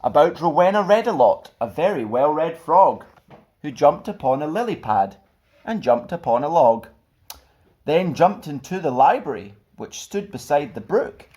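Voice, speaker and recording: A man reading a children's picture book aloud as a storyteller, in an expressive, theatrical way. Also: a little high, normal speed. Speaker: storyteller and channel host.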